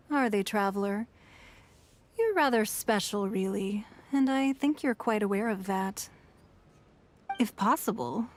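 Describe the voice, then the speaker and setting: A woman playing a poised, shrewd ruler of a merchant city in a video game, composed and formal, with a measured, knowing delivery. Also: mid-range voice, a little hiss or hum, natural voice. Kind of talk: video game cutscene acting.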